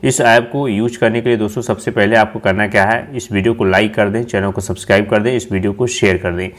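A man's voice: on the low side, steady tone, fast. A man narrating a casual app tutorial as host, explaining step by step and repeating himself.

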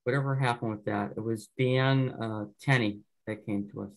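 A man's voice: fairly low pitch, fairly even intonation, moderate pace. Guy running a town board zoom meeting, easygoing, lots of ums. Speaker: board chair. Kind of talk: casual meeting discussion.

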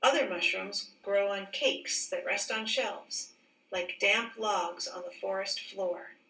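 Bright-voiced woman reading slowly in echoey room. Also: mid-range voice, gravelly, slight background noise. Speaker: teacher reading a picture book aloud. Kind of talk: read-aloud storybook narration. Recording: roomy echo, distant mic.

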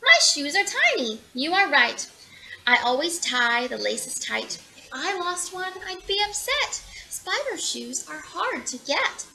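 A woman's voice: high voice, big pitch swings, unhurried, creaky, bright, forceful tone, full character voice, low-quality recording. A woman voicing a courteous, careful little storybook spider, precise and approving. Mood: approving, proud.

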